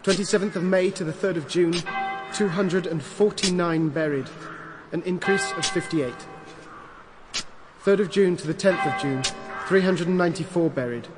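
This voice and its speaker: Radio drama bill-of-mortality reader male actor: formal, measured, impassive, high-pitched, music clearly underneath. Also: natural voice. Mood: solemn, impassive. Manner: measured, solemn. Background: prominent music.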